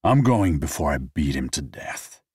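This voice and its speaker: A man voicing a gruff, irritated man who grunts his words. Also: extremely low pitch, big pitch swings, a little slow, a little mellow, no echo, clean studio sound, far from the mic, exaggerated voice.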